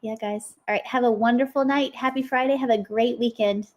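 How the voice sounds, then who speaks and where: A woman's voice: on the high side, fairly even intonation, a little fast, muffled recording. A woman co-hosting a live stream, upbeat and chatty with lots of fillers, her voice a little worn from a cold. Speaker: co-host of a live product demo. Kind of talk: casual live-stream chat.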